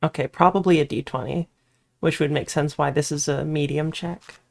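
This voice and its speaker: A woman's voice: low voice, fairly even intonation, normal speed, vocal fry, darkish tone. A woman reading a horror visual novel aloud as she plays, mixing storybook-like narration with casual commentary. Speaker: player narrating a game playthrough.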